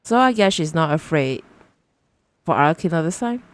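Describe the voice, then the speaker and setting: A woman doing casual live commentary while she plays a game, excitable and exclamatory, often talking to herself. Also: deep voice, normal speed, no echo. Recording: faint game music behind voice. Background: game music.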